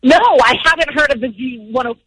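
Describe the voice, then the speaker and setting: A woman with a husky voice in a spontaneous phone conversation, questioning and incredulous in manner. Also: sits high, fairly quick, fairly bright tone. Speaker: parent, prank call victim. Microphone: telephone. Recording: phone call with faint music behind.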